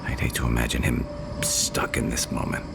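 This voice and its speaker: An actor playing a gruff, haunted veteran adventurer in a video game, weary and wry, with a gravelly voice. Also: male voice, completely flat delivery, moderate pace, heavily acted. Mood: regretful, wistful. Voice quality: very deep, gravelly. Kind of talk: video game character acting. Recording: music behind the voice. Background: music.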